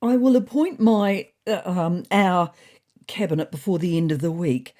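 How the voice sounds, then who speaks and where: A woman's voice: on the low side, moderate pace, mellow, natural voice. A woman voicing a bossy, self-important female cat who makes pompous, waspish speeches in a comedy story podcast. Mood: self-important, flustered.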